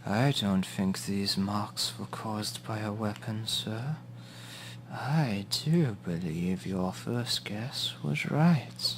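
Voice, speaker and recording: An actor playing a polite, sinister male museum expert in a noir radio play, formal and deliberate with eerie hushed tones. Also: on the low side, slight background noise. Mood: sinister, assured.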